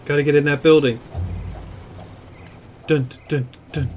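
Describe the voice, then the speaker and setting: A man's voice: on the high side, fairly even intonation, measured pace, slight background noise. Playful man doing game commentary. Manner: playful, hums and jokes at the screen. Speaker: let's play gamer. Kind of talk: let's play commentary.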